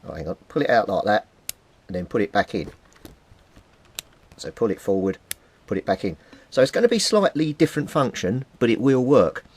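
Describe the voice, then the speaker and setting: A man's voice: lowish voice, animated, normal speed, pauses often, darkish tone, a little hiss or hum. A middle-aged British man giving a casual hands-on demonstration, informally thinking aloud as he works at a bench. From Britain. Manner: informal, thinking aloud while handling equipment. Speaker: hobbyist radio repairer presenting a video. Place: indoors at a cluttered workbench.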